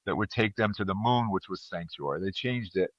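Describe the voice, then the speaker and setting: A man's voice: low-pitched, expressive, a little fast, no echo. Guy hosting a casual trivia podcast, dry close sound, bit muffled lo-fi mic. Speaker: podcast host running a trivia quiz. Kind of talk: casual chat and quiz hosting.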